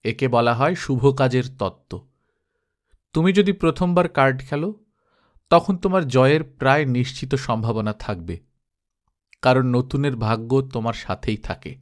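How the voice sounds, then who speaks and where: Man reading as a wise, calm old king. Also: mid-range voice, a little slow, lightly acted. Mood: calm assurance. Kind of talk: audiobook dialogue.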